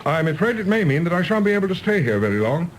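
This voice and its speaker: Stiffly polite, inflected British diplomat. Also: male voice, sits high, sing-song, fast, a little hiss or hum. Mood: matter-of-fact. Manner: formal, clipped, dry. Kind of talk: British radio play acting.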